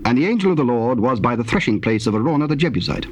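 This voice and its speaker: A man narrating dramatized scripture in a measured, formal manner. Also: medium pitch, expressive. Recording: faintly noisy old cassette tape.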